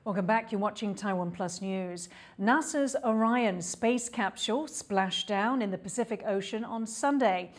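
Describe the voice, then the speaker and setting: A woman anchoring the news, reading a script in a steady, even way. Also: medium pitch, normal speed, hardly any pauses, soft background music.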